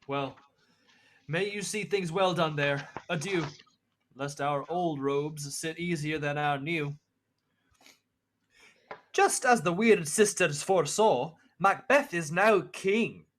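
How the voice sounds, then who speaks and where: A man's voice: a little high, slow pace, pauses often, fairly bright tone, a little hiss or hum. A young man acting as an earnest narrator and nobleman in a Shakespeare radio play. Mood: somber. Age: young adult. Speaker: student actor, narrator and supporting parts.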